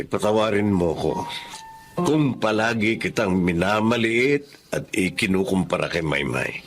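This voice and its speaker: An actor playing a middle-aged, regretful father in an emotional radio drama, speaking earnestly and with measured emphasis. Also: lowish voice, a bit bright, full character voice. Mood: remorseful, tender. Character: regretful, once-critical Filipino father, earnest and tender. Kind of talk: radio drama acting and first-person narration.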